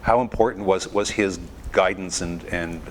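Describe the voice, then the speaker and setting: A man's voice: deep voice, steady tone, normal speed, noisy background. A man hosting an interview at a concert venue, asking questions with hesitant repeats. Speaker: interviewer, show host.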